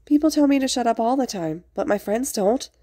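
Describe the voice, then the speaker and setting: A woman voicing a childlike, playful boy in a story, excitable and innocent with theatrical flair. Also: fairly high pitch, normal speed, no echo, clean studio sound, pitched above the performer's own voice. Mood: mock hurt. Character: childlike, playful, theatrical hero-student boy among villains.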